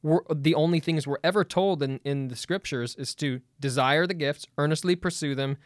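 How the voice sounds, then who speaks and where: A man debating as a guest, pushing back eagerly with quick stumbling restarts. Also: on the high side, no room sound, studio quality. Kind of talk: debate conversation.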